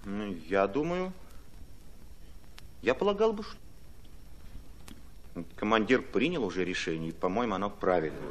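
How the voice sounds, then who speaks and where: An actor playing an earnest, persuasive Red Army commissar in a radio play, speaking in a measured manner. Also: male voice, fairly low pitch, lively intonation, close to an everyday voice. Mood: hesitant. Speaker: actor in an audio drama. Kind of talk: radio play dialogue and narration.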